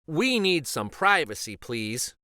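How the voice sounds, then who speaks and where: A man voicing earnest, excitable young schoolchildren in a picture-book read-aloud, speaking in an eager, expressive way. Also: a little high, slow pace, no echo, studio quality, full character voice.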